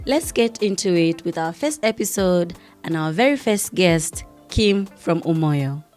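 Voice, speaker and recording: Woman host, slightly low voice, bubbly, laughs a lot, faint music under her. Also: animated, a little slow, a little mellow. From Zambia. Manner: bubbly, laughs often between questions. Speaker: podcast host. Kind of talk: upbeat podcast interview.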